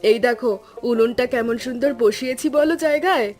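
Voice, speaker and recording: A woman voicing a caring middle-aged wife and mother in a cartoon story, speaking gently and soothingly. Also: fairly high pitch, normal speed, faint music behind, lightly acted. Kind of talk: cartoon voice acting.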